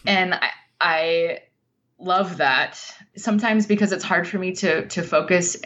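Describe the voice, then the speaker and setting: A woman being interviewed as a writer, speaking in a thoughtful, halting, reflective way. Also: lowish voice, a bit bright, dull audio.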